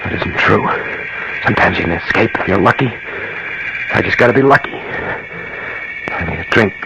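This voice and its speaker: An actor in an old-time radio drama playing a desperate, guilt-ridden husband on the run, halting and overwrought. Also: male voice, fairly low pitch, lively intonation, gravelly. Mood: panicked. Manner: overwrought, halting, muttering to himself. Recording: dull, noisy old radio recording.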